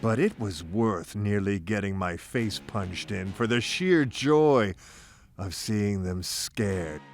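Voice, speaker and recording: A man's voice: fairly low pitch, slow. A man narrating a scripted first-person story in a theatrical, folksy, playful manner. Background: music bed.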